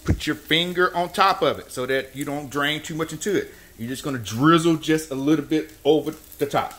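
A man hosting a home cooking show, explaining each step in a folksy, relaxed way. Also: on the high side, a little fast. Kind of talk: casual cooking demonstration. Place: home kitchen at the stove. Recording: echoey kitchen room. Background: oil frying and sizzling in a skillet.

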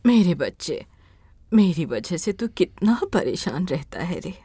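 A woman voicing a loving, worried elderly blind mother in an animated moral story. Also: fairly low pitch, measured pace, husky, dry recording, full character voice. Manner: tender, worried.

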